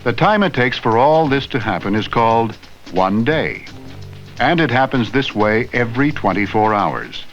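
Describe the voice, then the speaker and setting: A man giving scripted educational narration in a slow, clear, teacherly way, asking the viewer simple questions. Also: mid-range voice, lively intonation, fairly bright tone. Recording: dull vintage film soundtrack with faint music.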